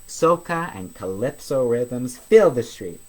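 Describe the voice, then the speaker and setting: A man's voice: medium pitch, very animated, slow pace, darkish tone, low-quality recording. A man hosting a story reading, telling a children's picture book expressively.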